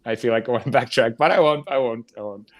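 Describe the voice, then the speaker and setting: A man with a medium-pitched voice talks as a podcast co-host. His style is casual and conversational. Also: fast talker. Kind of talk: casual chat.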